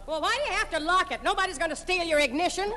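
An actress playing a scatterbrained, self-pitying wife in an old radio comedy, naive and plaintive. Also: female voice, extremely high pitch, fast. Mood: flustered. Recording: noisy echoing old radio audience recording.

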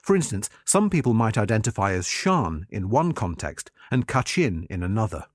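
A man reading a scripted article aloud as narrator, in a measured, formal and articulate manner. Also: on the low side, sing-song, no room sound, clean studio sound.

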